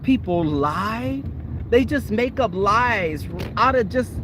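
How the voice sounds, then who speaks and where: A woman vlogging in a car, talking in a blunt, sassy, opinionated way with a voice that is going hoarse. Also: fairly high pitch, animated, slow. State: losing her voice. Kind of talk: casual chat. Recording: noisy car interior.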